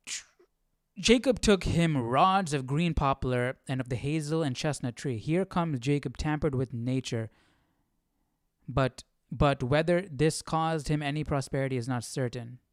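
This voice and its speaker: A man hosting a casual live Bible study, reading aloud and thinking out loud in an informal, slangy way. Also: a little high, smooth, dry recording, studio quality.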